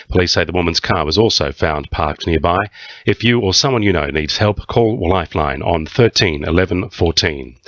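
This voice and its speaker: A man's voice: deep voice, a bit bright. A man with an Australian accent reading a scripted radio news bulletin. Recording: slightly dull, roomy radio recording.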